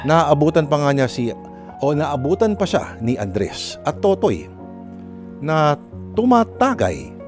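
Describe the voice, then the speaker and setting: Man, radio drama host and narrator, very lively intonation, chatty, dramatic, music behind. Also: on the high side, measured pace, pauses often. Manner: chatty, dramatic, addresses listeners often. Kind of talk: conversational radio hosting and storytelling.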